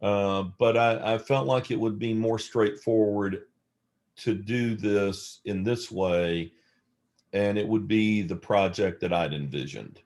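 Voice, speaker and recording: Male interview guest, mature, very low voice, unhurried pace, reflective and hesitant in conversation. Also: darkish tone. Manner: hesitant, measured, halting delivery. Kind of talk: casual reflective storytelling.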